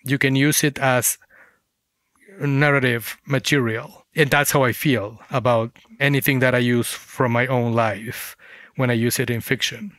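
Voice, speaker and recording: A man's voice: mid-range voice, steady tone, measured pace, a bit bright, dry recording. Man reflecting in a dry, close interview. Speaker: guest, fiction writer.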